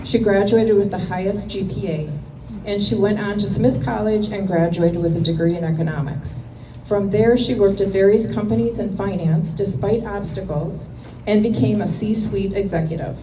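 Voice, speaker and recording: A woman host introduces a guest speaker in a measured, read-aloud way, her voice at a middle pitch. The sound is distant and roomy, with steady background noise clearly audible. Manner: measured, reading a prepared introduction. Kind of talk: formal introduction read to an audience. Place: meeting room with an audience.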